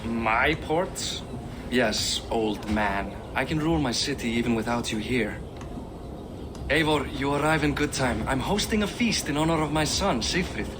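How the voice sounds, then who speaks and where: A man's voice: medium pitch, expressive, moderate pace, background noise, lightly acted. An actor playing a boisterous, proud middle-aged Viking king in a video game cinematic, hearty and boastful as he declaims. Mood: genial.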